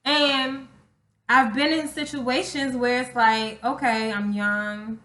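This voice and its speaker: A woman's voice: a little high, fairly even intonation, slow pace, sharp tone. A young woman co-hosting a podcast, talking casually and candidly in an emphatic, slangy way with plenty of swearing. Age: young adult. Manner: emphatic, slangy, profanity-laced. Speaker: podcast co-host. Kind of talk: casual, candid relationship talk. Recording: echoey room.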